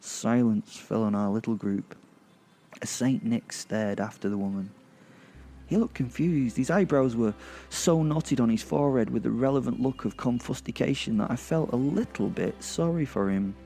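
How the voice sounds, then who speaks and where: A man narrating a horror audio drama in the first person, wry and gleeful, pausing for effect. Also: medium pitch, gravelly, mellow. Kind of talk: first-person sardonic storytelling.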